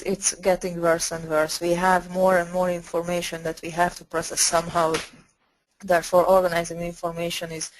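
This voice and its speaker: A woman walks through slides in a webinar. Her voice sits a little low and stays flat in pitch. She often repeats a word before going on. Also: low-quality recording. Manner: repeats words while finding phrasing. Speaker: presenter.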